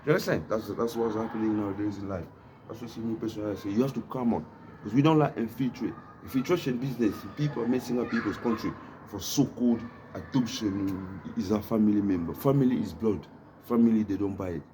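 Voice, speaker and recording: A man from Africa talks on and on in a loose, circling vlog monologue, a cigarette going as he speaks. His voice sits on the low side. A steady wash of noise runs under everything. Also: quick pace. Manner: repetitive, keeps asking "you know what I mean?"; smoking while talking. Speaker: vlogger. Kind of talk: rambling monologue to camera. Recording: noisy background hiss.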